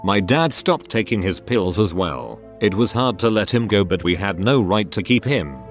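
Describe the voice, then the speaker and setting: A man's voice: lowish voice, expressive, moderate pace, soft background music. A man narrating written online stories as scripted narration, reading them out as a narrator.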